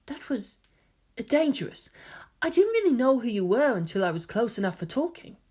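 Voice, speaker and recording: Gentle, secretive teen boy, played by a woman: effeminate, courteous, quiet and earnest, lilting delivery for dramatic fan fiction. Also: on the high side, sing-song, moderate pace, slightly rough, pitched above the performer's own voice. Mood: mournful. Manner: soft-spoken, polite, earnest.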